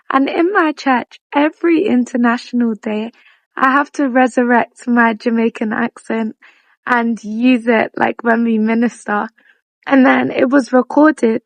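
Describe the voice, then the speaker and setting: A young woman talks through her thoughts in a relaxed, reflective way and laughs at herself often. Her voice is high and sits on a gravelly fry. The sound is dry and close. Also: a little slow, no room sound, poor audio. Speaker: vlogger and podcast host. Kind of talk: casual reflective monologue.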